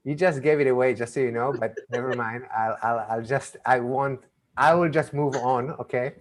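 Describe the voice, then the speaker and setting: A man's voice: mid-range voice, moderate pace. A playful man with an accent from Argentina and Israel hosts an online mentalism act over a video call. He chats with his volunteers, stumbling over restarts as he goes. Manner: chatty, playful, stammering restarts. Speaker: magician and mentalist. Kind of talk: live online magic show patter.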